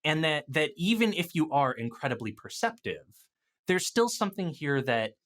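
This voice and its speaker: A man in a casual gaming discussion, talking as an experienced dungeon master in an animated, opinionated way. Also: on the high side, moderate pace.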